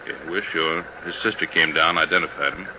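An actor playing a plain-spoken, laconic American police sergeant in a 1950s radio drama. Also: male voice, a bass voice, steady tone, brisk. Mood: matter-of-fact. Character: male police sergeant, plain-spoken, easygoing, matter-of-fact. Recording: muffled, noisy 1950s radio recording.

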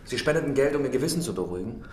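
Blunt, rapid-fire police inspector played by a man. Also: mid-range voice, expressive, darkish tone, roomy sound, a little hiss or hum, close to an everyday voice. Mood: accusing, curt. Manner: curt, confrontational. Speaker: actor in a crime radio drama. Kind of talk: naturalistic German radio drama acting.

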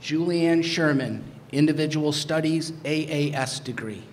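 A man formally reading out a roll call in an auditorium, deliberate and phrase by phrase, as a ceremony announcer. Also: fairly high pitch. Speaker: announcer of graduates' names. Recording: clear background noise, hall ambience.

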